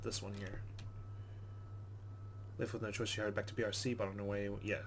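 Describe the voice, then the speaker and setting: A man's voice: lowish voice, little pitch movement, brisk, slight background noise. A man hosting a let's play, reading game narration aloud with casual commentary.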